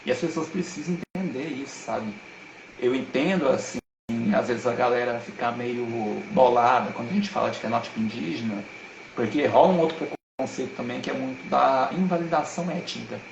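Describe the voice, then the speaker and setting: A man's voice: mid-range voice, fairly quick, gravelly, noisy background. A man talks as a guest on a live stream, earnest and emphatic, with a lot of slang. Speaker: guest speaker. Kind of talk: casual live-stream talk. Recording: roomy echo.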